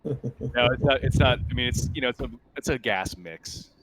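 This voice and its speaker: A man's voice: mid-range voice, lively intonation, a little fast, background noise. A man chatting casually as game master of a tabletop roleplaying game.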